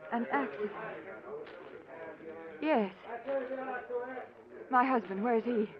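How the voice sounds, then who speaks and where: An actress playing an anxious, devoted middle-aged doctor's wife in an emotional old-time radio mystery style. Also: female voice, a little high, slow, a crowd in the background. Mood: distraught. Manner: dramatic, emotional delivery. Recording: dull, noisy old radio recording.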